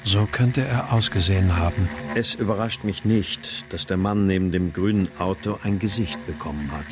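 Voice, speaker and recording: An actor playing a troubled, subdued husband in a radio crime drama, speaking in a hesitant, reserved, brooding way. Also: male voice, low voice, moderate pace, lightly acted. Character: troubled, subdued husband searching for his missing wife. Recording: clear background noise.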